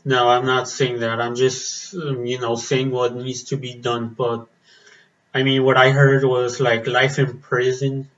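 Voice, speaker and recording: A man reacting in a casual vlog, slightly raspy from the cold, pausing often with fillers and restarts. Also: mid-range voice, level tone, lo-fi sound. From Spain. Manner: hesitant, many fillers and restarts. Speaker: metal vlogger. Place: outdoors in the cold.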